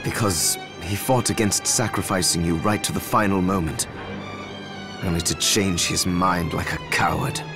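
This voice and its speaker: Deep-voiced aloof alchemist. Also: male voice, fairly even intonation, normal speed. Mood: bitter. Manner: curt, formal, cool. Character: aloof, learned, bitter male alchemist. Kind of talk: video game character acting. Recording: music behind the voice.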